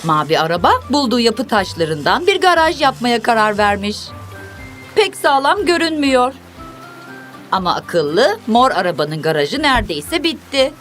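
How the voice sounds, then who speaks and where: A woman's voice: a little high, sing-song, normal speed, a bit bright. A woman narrating a children's cartoon, speaking expressively in simple, instructive phrases as if to young viewers and characters. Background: music.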